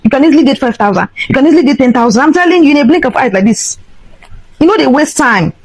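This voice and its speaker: Casting: Nigerian woman, rapid emphatic delivery, impassioned vlog rant mixing Pidgin and English, dry recording. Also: sits high, expressive, dry recording, low-quality recording. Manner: emphatic, indignant, switching between English and Pidgin. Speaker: social media vlogger.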